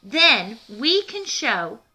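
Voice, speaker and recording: A woman's voice: fairly high pitch, very animated, very slow, pushed and bright, husky, voice pushed up, exaggerated voice, poor audio. A woman voicing a vain, competitive female turkey in a storybook, speaking assertively. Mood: keen. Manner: assertive, insistent.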